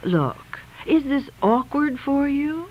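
Breezy, flirtatious liberated woman in a radio comedy; animated melody, dull-toned recording. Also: sits high, slow pace, a little hiss or hum, full character voice. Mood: assertive. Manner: breezy, forward, teasing. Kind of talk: radio domestic comedy-drama acting. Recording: slightly muffled radio recording.

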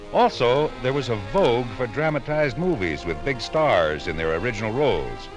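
Male documentary narrator, slightly low register, animated intonation, scripted radio delivery. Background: music.

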